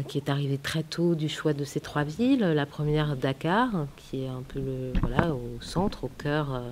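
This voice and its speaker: A woman's voice: rumbling low, a little mellow. A woman film director in an interview, speaking thoughtfully with many hesitations and restarts, her first language Italian. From France. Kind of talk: festival interview. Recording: faint hiss.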